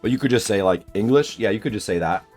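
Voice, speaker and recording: A man's voice: fairly low pitch, lively intonation, quick pace, darkish tone, soft background music. A man streaming a game and chatting casually, thinking aloud in a rambling way and answering chat messages.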